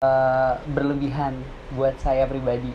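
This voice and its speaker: A woman in her twenties giving measured, hesitant interview answers, pausing often to think. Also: rumbling low, completely flat delivery, dull audio, a little hiss or hum. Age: 20s. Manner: measured, hesitant, many "eh" fillers.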